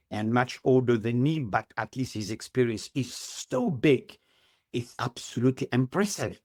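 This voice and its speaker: Man, middle-aged, halting upbeat English, dry close sound. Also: medium pitch, no room sound. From Tunisia. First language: Arabic. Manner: halting non-native English, earnest and upbeat. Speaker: guest. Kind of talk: interview answers.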